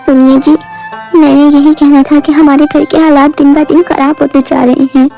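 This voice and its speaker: A very high-pitched village wife, acted by a woman, earnest and pleading in a cartoon moral tale. She sounds practical and worried, on a dull lo-fi track with music clearly behind her. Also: flat delivery, moderate pace, mellow. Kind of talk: Hindi animated moral story dialogue. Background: background music.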